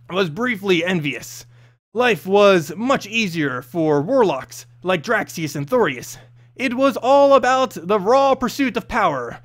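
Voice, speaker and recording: A man's voice: sits high, a bit bright. A man reading a scripted fantasy story as narrator, expressively, with an occasional stumble over the text.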